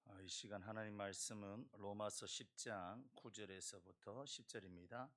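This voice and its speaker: A male pastor, hoarse from a throat cold, slowly reading scripture and introducing a guest speaker. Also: fairly low pitch, fairly even intonation, fairly bright tone, soft background music. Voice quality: gravelly, husky. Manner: slow, deliberate scripture reading. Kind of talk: church service introduction.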